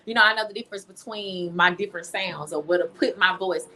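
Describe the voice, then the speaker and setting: Expressive woman in a candid interview. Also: on the low side, fast talker, rough recording. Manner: expressive, informal, repeats phrases for emphasis. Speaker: interview guest, singer and songwriter.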